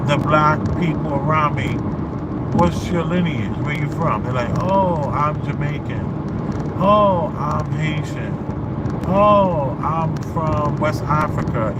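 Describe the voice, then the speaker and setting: A man giving casual, off-the-cuff commentary in a halting, repetitive way. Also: on the high side. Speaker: commentator reacting to a video. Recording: distant mic, loud vehicle and wind noise.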